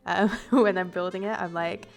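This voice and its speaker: A woman's voice: lowish voice, fairly even intonation, normal speed, faint music behind. Stuffy-voiced woman, casual voice-over. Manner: casual and rambling, with fillers and self-corrections. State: stuffy from a cold. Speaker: game builder and YouTuber. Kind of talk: relaxed voice-over commentary on a building video.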